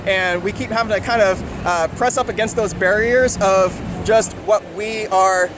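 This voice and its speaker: A man's voice: high-pitched, fairly even intonation, unhurried. A man hosting a vlog, talking eagerly into a handheld camera outdoors and interviewing a friend. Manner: upbeat, eager, repeats himself. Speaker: vlog host. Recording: loud outdoor traffic noise.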